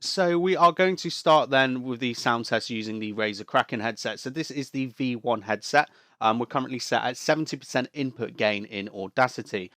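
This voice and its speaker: A man's voice: medium pitch, normal speed, a bit bright, soft background music. Nasal man reviewing gear. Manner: chatty, rambling, lots of fillers and restarts. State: has a cold, sounds nasal. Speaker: tech reviewer. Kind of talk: casual product review. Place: home desk setup beside a busy street.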